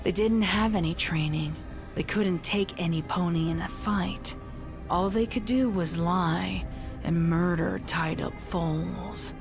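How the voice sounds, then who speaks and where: A woman reading a dark story in a dramatic, deliberate and suspenseful way. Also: on the low side. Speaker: audiobook narrator of fan fiction. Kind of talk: dramatic scripted story narration. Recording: loud music under the voice. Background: music.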